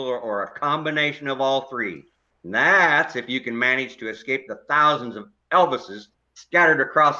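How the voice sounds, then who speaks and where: A man's voice: mid-range voice, sharp tone, lo-fi sound. A man playing a grumpy older widowed neighbor in a stage play, blunt and dryly complaining.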